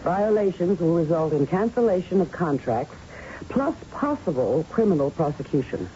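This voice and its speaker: A young woman physician with a dark, expressive voice. She is earnest, formal and unbending. The sound is that of a muffled old radio drama. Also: lowish voice, normal speed. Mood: firm. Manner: firm, formal, precise. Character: earnest, principled young female plant doctor. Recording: muffled, faintly noisy old radio recording.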